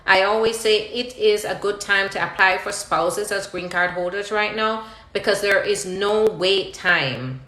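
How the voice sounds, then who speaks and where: A woman's voice: mid-range voice, a little slow, pushed and bright. A woman attorney hosting a live question-and-answer session, reading out viewer questions and explaining the answers step by step in a conversational way. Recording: roomy, echoing live-stream sound.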